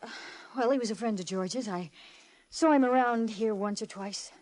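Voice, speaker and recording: A woman's voice: a little high, moderate pace, darkish tone, close to an everyday voice. An actress playing a gangster's anxious, evasive girlfriend with nervous, hesitant, melodramatic delivery in a 1940s radio drama. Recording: old-time radio recording.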